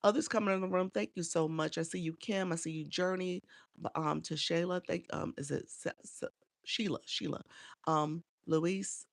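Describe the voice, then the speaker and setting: Congested, gravelly woman livestreaming. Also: on the low side, fairly even intonation, normal speed, no echo, clean studio sound. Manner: chatty and encouraging, greets viewers by name. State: congested, a little nasal from a cold. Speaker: live streaming coach. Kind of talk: casual livestream chat with viewers.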